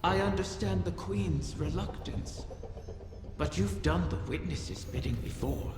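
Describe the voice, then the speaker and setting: An actor playing a sly, taunting creature in a video game, speaking in a theatrical, mocking way. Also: male voice, high voice, measured pace. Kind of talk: scripted video game dialogue. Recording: long reverberant hall, music behind.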